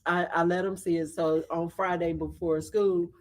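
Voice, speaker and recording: A soft-spoken woman of middle age talks on a video call, her voice sitting low. She tells her story haltingly, circling back over words before going on, and laughs easily. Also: little pitch movement. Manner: halting, with many restarts and laughs. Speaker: talk show guest. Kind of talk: casual storytelling interview.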